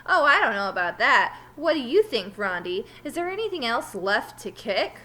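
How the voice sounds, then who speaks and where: Woman playing a teasing, bright-voiced recess supervisor man. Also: a little high, sing-song, exaggerated voice. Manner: teasing, drawn-out questioning.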